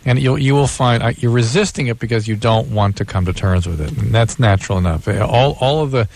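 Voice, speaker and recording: A man giving calm, clinical advice to callers on a call-in radio show. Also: medium pitch, fairly quick, creaky, no echo. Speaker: radio co-host and doctor. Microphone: studio microphone.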